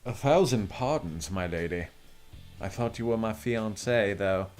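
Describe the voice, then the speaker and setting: A man's voice: lowish voice, measured pace, a little mellow, faint music behind, close to an everyday voice. A man playing a sly, flirtatious villainous duke who sweet-talks insincerely in an audio drama. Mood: feigned apology. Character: sly, lecherous, smooth-talking villainous duke.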